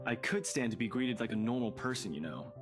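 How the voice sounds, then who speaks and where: A man's voice: mid-range voice, lively intonation, fairly quick, music in the background, close to an everyday voice. A man playing a sarcastic young man in a visual novel game, a teasing friend who gets flustered easily. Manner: sarcastic, dry banter.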